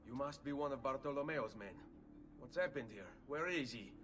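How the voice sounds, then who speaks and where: A composed young assassin, played by an actor, speaking calmly and courteously. His voice sits in an ordinary middle pitch. Steady game ambience runs beneath him. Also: lightly acted. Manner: calm, measured, courteous. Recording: game audio with ambient noise underneath.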